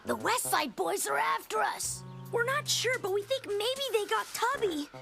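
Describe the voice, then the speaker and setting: A woman voicing an excitable, timid little boy in a cartoon. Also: extremely high pitch, normal speed, creaky, over music, heavily acted. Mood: nervous, evasive. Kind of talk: cartoon acting.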